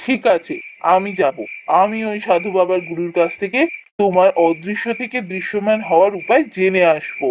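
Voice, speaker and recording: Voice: mid-range voice, moderate pace, a little hiss or hum, natural voice. A performer voicing a kind, earnest young village man in a fairy-tale cartoon, speaking expressively. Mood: reassuring. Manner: expressive, earnest storybook delivery. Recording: music behind the voice.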